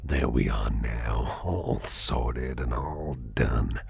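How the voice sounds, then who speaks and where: A man playing a kindly, attentive businessman boss in a gentle, whispered, gravelly ASMR roleplay that soothes an employee. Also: rumbling low, heavily acted. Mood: warm, caring. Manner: gentle, slow, reassuring. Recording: clearly audible background noise.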